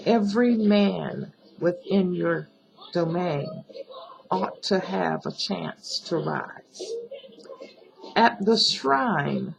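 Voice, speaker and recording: A woman giving a solemn recitation of a protest poem, deliberate and pleading, pausing between short phrases. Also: fairly low pitch, lively intonation, creaky, a crowd in the background, rough recording. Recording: music behind the voice. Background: music.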